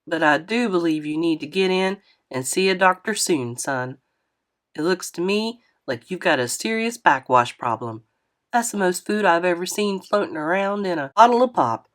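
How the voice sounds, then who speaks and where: A woman's voice: on the low side, lightly acted. A woman doing a teasing older grandfather. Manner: dry teasing, fatherly.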